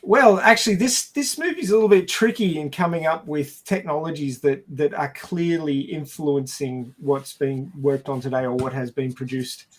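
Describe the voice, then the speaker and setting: Podcast panelist, male, slightly high voice, explains in a halting, wry way, casual discussion style. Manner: halting, explanatory, wryly jokey. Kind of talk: casual film and science discussion.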